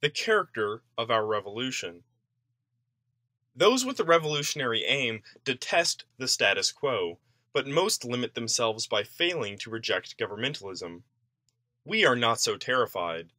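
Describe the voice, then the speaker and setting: A man's voice: mid-range voice, sing-song, moderate pace, sharp tone, no echo, clean studio sound. A man reading a written essay aloud in a formal, declarative way, as scripted narration. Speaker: reader of an essay.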